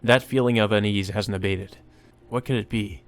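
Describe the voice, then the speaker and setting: Deeper-voiced man reading a visual novel. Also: steady tone, moderate pace. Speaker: Let's Play host. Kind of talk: reading a visual novel aloud with casual commentary.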